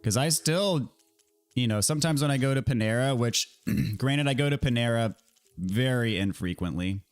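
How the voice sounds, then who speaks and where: A man with an American accent chatting casually to viewers while he plays a video game, his voice a little raspy from a scratchy throat. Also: medium pitch, normal speed, faint music behind. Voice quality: slightly raspy. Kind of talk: casual livestream chat answering viewers while gaming.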